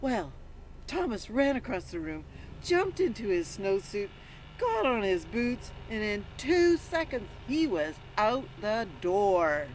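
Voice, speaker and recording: A woman reads a storybook to young children at an unhurried pace, her pitch rising and falling in a lively way. She breaks off often to ask the listeners questions. There is some noise behind her voice. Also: low-quality recording. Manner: interactive, prompts listeners with questions. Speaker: storyteller reading a picture book aloud to children. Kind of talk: animated read-aloud with asides to young listeners.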